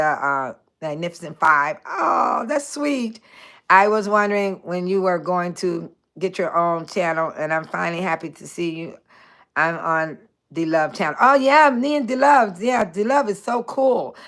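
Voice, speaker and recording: A woman in her sixties hosting a casual livestream, chatting warmly with viewers by name and laughing often. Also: lowish voice. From Chicago area. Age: 60s. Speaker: livestream host. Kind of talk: casual livestream chat reading viewer comments.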